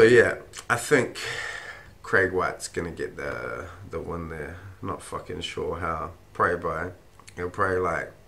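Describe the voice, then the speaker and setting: A man with a croaky, run-down voice, rambling casually through fight picks with plenty of swearing. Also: sits low, bright, forceful tone. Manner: rambling, swears freely, thinks aloud with ums. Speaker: fight-pick vlogger. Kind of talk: casual fight predictions monologue.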